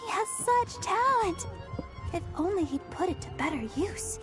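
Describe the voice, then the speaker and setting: A woman's voice: extremely high pitch, expressive, normal speed. A woman voicing a sweet, earnest young church singer, girlish and eager, in a video game with music behind her. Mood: wistful concern.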